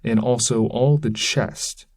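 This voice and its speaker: A man reading narrative verse aloud in a measured, solemn manner, as a scripted dramatic recitation. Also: medium pitch, fairly even intonation. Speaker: reader.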